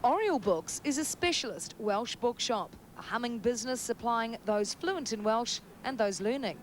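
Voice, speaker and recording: A woman's voice: on the high side, lively intonation, normal speed. A woman delivering scripted feature narration as a reporter. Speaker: television reporter. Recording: clear street and vehicle noise behind.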